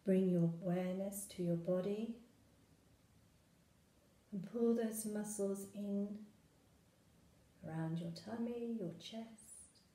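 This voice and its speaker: A woman guides a meditation in a roomy, echoing space. Her voice is soft-toned and mellow, and she speaks very slowly. She gives gentle, soothing instructions in short phrases, leaving long pauses between them. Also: mid-range voice.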